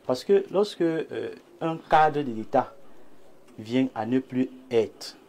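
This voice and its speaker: A man with a Cameroonian accent gives analytical commentary as a panelist, measured and formal in his wording. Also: mid-range voice, expressive, darkish tone. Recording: clear background noise.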